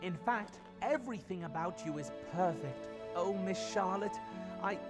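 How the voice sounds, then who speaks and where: An actor playing a shy, stammering young musician in a cartoon. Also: male voice, sits high, animated, normal speed, loud music behind the voice.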